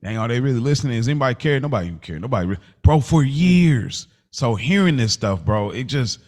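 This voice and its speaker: A man's voice: mid-range voice, animated, quick pace, darkish tone. Male podcaster, mid-range voice, casual slang, emphatic and repetitive delivery. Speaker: podcast co-host. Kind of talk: casual conversational podcast chat.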